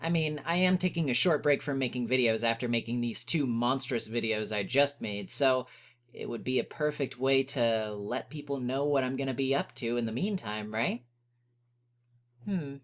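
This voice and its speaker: A man's voice: mid-range voice, normal speed. A man delivering scripted video essay narration in an emphatic, conversational and wry manner. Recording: music playing behind the voice. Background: background music.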